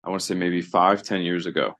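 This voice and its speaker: A man's voice: sits low, fast talker. A man co-hosting a podcast, talking in a loose, conversational way with strong opinions. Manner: conversational, animated opinions, many fillers. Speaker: podcast co-host, physical therapist. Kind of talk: casual podcast discussion.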